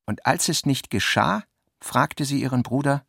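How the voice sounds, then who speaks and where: A man reading scripted literary narration for a radio play in a measured, deliberate manner. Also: medium pitch, lively intonation, gravelly, a bit bright, husky, dry recording, studio quality.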